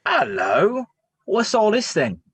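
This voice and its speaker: An actor as cheeky male street thief, drawling, wry, colloquial, very swooping pitch, dry close sound, stage reading. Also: wildly swooping pitch, takes it slowly, breathy edge, dry recording, heavily acted. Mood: curious. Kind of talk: dramatized stage play script reading.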